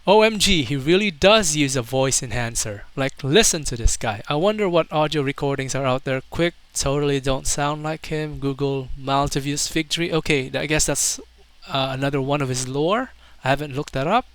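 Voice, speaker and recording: A man's voice: medium pitch, moderate pace, fairly bright tone. A man doing casual video commentary, reading out online comments in an amused, mocking way.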